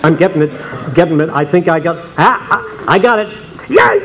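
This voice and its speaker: An actor playing an excitable, blustering husband in an old radio comedy, exclaiming in panic. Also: male voice, fairly high pitch, moderate pace, exaggerated voice, poor audio. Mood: frantic alarm. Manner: exclamatory, frantic. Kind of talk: 1940s radio comedy acting.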